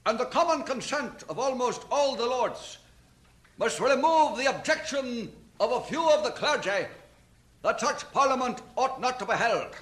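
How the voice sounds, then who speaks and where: An actor playing a stern lord presiding over a Scottish Parliament, declaiming formally in old radio drama style. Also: male voice, very high voice, animated, moderate pace, fairly bright tone. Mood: formal, commanding. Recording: echoey hall sound.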